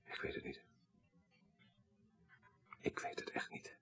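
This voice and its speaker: An actor playing a grave, troubled son in a radio drama, speaking in a formal, measured, articulate way. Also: male voice, bright, forceful tone, in a whisper, faint music behind. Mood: subdued, troubled. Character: grave, troubled son recounting his dead father's story.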